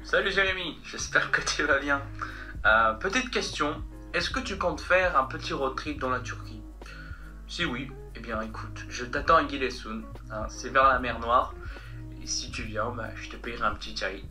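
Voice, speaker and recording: A man's voice: mid-range voice, normal speed, bright, forceful tone, faint music behind. A man sending a friendly video question to a vlogger. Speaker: viewer asking a question by video. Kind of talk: casual video message.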